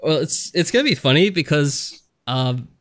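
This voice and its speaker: A man's voice: fairly high pitch, animated, moderate pace, a bit bright. Mild, hesitant man chatting on a podcast. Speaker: podcast co-host. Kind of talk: casual podcast chat. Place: remote voice call.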